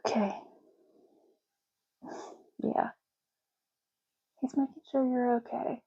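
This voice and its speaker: A soft-spoken woman hosting an ASMR roleplay, talking in an unhurried, rambling way as if privately to the listener. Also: a little high, fairly even intonation, vocal fry, slightly rough, muffled recording.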